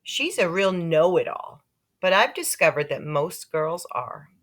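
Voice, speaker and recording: A woman reading a children's story aloud in an expressive, animated way. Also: fairly low pitch, measured pace. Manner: animated, with character voices for dialogue. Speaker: audiobook-style reader.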